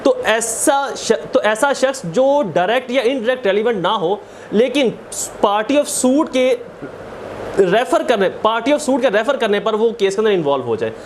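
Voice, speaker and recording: A high-voiced man with a Pakistani accent delivers an explanatory lecture. He teaches step by step, restating key phrases and mixing formal read-out wording with plain examples. There is some noise in the recording. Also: animated, measured pace. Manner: didactic, repeats phrases for emphasis. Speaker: law lecturer.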